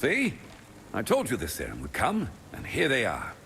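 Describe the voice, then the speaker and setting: A man's voice: mid-range voice, huge pitch swings, normal speed, creaky, music in the background. An actor playing a suspicious, loyal male warrior in a fantasy game, brooding and dramatic in delivery. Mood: wary, warning. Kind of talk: fantasy video game dialogue.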